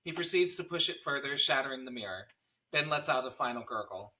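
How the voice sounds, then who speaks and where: A man narrates a screenplay at a table read. His mid-range voice is bright and crisp in tone, picked up a little way from the microphone. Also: mid-range voice, lively intonation, a little fast, far from the mic, rough recording. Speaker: narrator reading stage directions.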